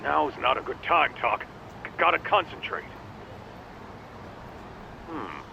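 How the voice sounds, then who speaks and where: An actor playing a brash, gruff street-tough sidekick to a detective in a video game dub, blunt, informal and impatient. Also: male voice, medium pitch, very animated, slow pace, sharp tone, muffled recording, a little hiss or hum, full character voice. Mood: focused, wry. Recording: game audio with music underneath. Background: background music.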